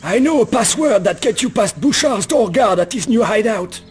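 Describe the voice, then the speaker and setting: A man's voice: high-pitched, lively intonation, normal speed, slightly rough, faint music behind, heavily acted. A man playing a surly, suspicious old man in a video game, irritable, dismissive and grudging. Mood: conspiratorial. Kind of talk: video game cutscene voice acting.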